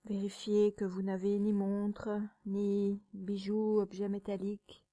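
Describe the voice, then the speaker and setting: A woman leads a guided meditation as a yoga nidra teacher. She speaks very slowly in short, measured phrases with pauses between them. Her voice is mid-pitched, mellow and soft, turning breathy at times, with a calm, soothing delivery. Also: lo-fi sound.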